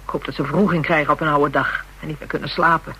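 A woman's voice: low-pitched, steady tone, quick pace, bright, forceful tone, breathy edge, exaggerated voice, low-quality recording. Bitter, hard-bitten young call girl. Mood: bitter, defiant. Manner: coarse, slangy, defiant. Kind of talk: radio drama acting.

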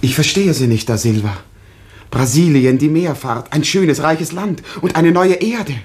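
An actor playing a persuasive tavern patron who coaxes a friend in a 1960s radio drama. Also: male voice, on the high side, animated, a little slow, a little mellow, slightly rough. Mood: coaxing.

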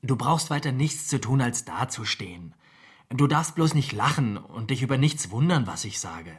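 An actor playing a cocky, slick young criminal in a radio drama, with a rough voice and a brash, mocking, impatient manner. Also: male voice, medium pitch, animated, normal speed. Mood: slick, sly.

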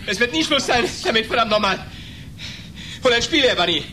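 A man's voice: very high-pitched, animated, a little fast, sharp tone, slight background noise, full character voice. An actor in a radio play playing a taunting, combative man who goads his rival with heated, repeated words. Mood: heated challenge.

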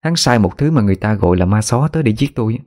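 A man voicing a calm young woman healer who speaks softly and steadily. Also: fairly low pitch, racing, natural voice. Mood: earnest.

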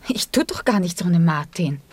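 Low, husky, reproachful woman. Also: animated, normal speed, a little mellow, no room sound. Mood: indignant, defensive. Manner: reproachful, defensive. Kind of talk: radio drama acting.

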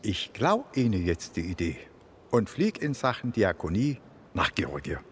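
An actor playing a self-assured, scheming police chief with a Swabian accent, expansive and ironic, in a radio drama. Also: male voice, on the low side, sing-song, unhurried, slight background noise. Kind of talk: German radio drama acting.

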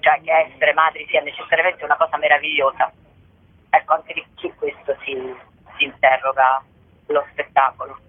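A woman speaking as a guest in a radio interview, explaining her work in a reflective, halting way with frequent rephrasing. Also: sits low, little pitch movement, slightly rough, dry recording. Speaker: playwright and actress, interview guest. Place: remote phone call to a radio show. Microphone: telephone. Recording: tinny, band-limited telephone line.

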